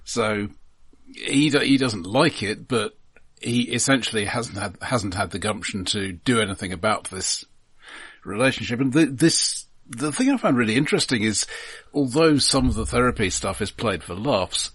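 A man with a rough, husky voice on the low side chats about films as a co-host. He is analytical and dryly wry, recorded close and dry with no room sound. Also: lively intonation, normal speed, fairly bright tone, dry recording. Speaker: podcast co-host reviewing films. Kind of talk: casual film discussion.

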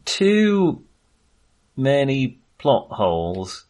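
A man's voice: medium pitch, wildly swooping pitch, very slow, a little mellow. A man co-hosting a film podcast in casual discussion, talking in a hesitant, rambling way and often correcting himself.

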